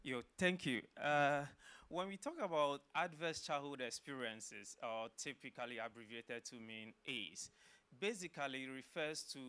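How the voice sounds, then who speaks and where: Guy, middle aged, explains stuff slow and careful with uhs, smooth clear voice. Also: mid-range voice, pushed and bright. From Ghana. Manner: measured, explanatory, with filler uhs. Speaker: guest, social work professor. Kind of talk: interview answers.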